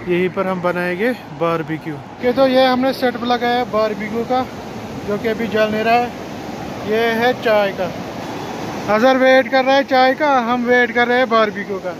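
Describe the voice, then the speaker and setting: High-pitched young man, very noisy recording. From Kashmir, India. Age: 20s. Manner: narrates what is happening around them. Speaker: vlogger. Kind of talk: casual travel vlog chat. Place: outdoors at riverside campsites, in a car. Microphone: phone at arm's length. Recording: very noisy outdoor phone recording. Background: loud outdoor ambience.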